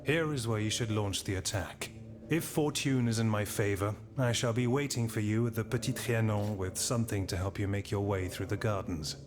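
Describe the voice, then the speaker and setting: A man's voice: on the low side, normal speed, lightly acted. A man playing a shrewd, worldly French marquis and general in a video game, assured and formal. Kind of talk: dramatic video game acting. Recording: music behind the voice.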